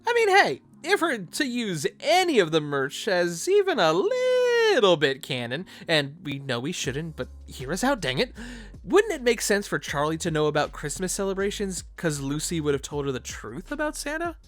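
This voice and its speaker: A man's voice: high-pitched, huge pitch swings, moderate pace, fairly bright tone. A man narrating an informal fan video essay, with playful, conversational asides.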